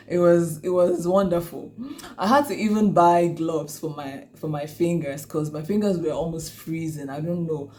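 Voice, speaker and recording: Chatty Nigerian woman with a mellow voice. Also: deep voice, a little hiss or hum. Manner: chatty, laughs often, many "you know" and "like" fillers. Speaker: vlogger. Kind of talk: casual talk to camera.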